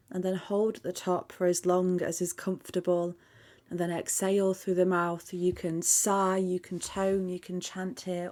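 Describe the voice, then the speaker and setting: A woman leading a guided meditation in a soft, calm voice that often drops to a near-whisper. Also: on the low side. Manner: soft, calm, hushed, half-whispered at times.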